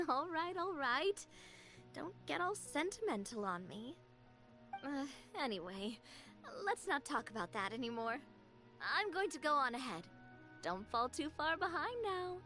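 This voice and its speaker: A woman's voice: high voice, very animated, unhurried, bright, forceful tone, full character voice. An actress playing a breezy, easily flustered young woman in a game dub, casual and bashful, with music behind her. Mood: brushing off. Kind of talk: English game dub acting.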